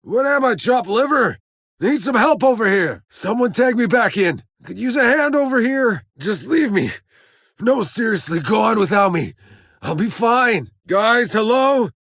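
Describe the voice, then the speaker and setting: A man's voice: sits high, big pitch swings, a little slow, a bit bright, no echo, heavily acted. A man playing a cynical, tough soldier in a sci-fi video game, with a gritty voice and dry wisecracks. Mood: strained, calling out. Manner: sardonic, swearing, dry wisecracks. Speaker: video game player character voice. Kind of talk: scripted video game voice lines and combat barks.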